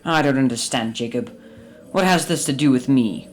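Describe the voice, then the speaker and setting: An actor playing a grumpy, suspicious old miser in a radio drama, with a blustering, theatrical delivery. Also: male voice, medium pitch, normal speed, a little hiss or hum, lightly acted. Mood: bewildered. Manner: excitable, indignant, blustering.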